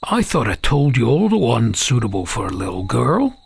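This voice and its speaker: A gentle merchant father, acted by a male narrator in a fantasy audio tale. He talks to his young daughter in a tender, measured way, with sorrow held underneath. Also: medium pitch, very animated, vocal fry, breathy edge, full character voice. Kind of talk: audiobook character acting.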